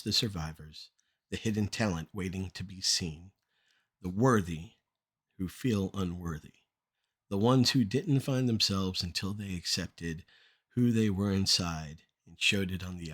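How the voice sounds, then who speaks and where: A man's voice: low-pitched, unhurried, a bit bright, slightly rough. Middle-aged man reciting spoken-word poetry.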